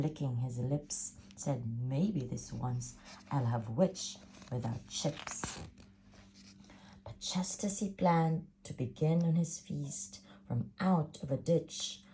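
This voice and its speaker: A woman reading a rhyming picture book aloud with an expressive, rhythmic delivery. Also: low-pitched, a little hiss or hum, low-quality recording. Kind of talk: storybook read-aloud.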